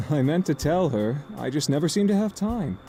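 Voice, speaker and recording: An actor voicing an awkward, sheepish uncle in an anime-style visual novel game. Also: male voice, a little high, sing-song, a little slow, mellow, faint music behind. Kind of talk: video game voice acting.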